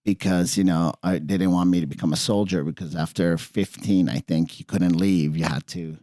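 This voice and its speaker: A man's voice: low-pitched, steady tone, moderate pace, warm tone, slight background noise. A middle-aged man from Iran, partly raised in California, talking earnestly and casually as a chef guest on a podcast. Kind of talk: casual podcast interview, personal stories.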